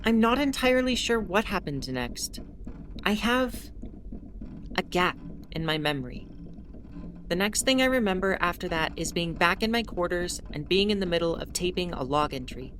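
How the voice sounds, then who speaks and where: A woman narrating as a starship captain in an audio drama, with measured, introspective storytelling. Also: lowish voice, expressive, a bit bright. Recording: noisy audio drama recording.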